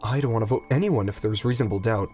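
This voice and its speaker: A man's voice: fairly low pitch, rapid-fire, music in the background, natural voice. A man playing a level-headed, frank young man in an audio drama, explaining things reasonably. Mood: reluctantly frank.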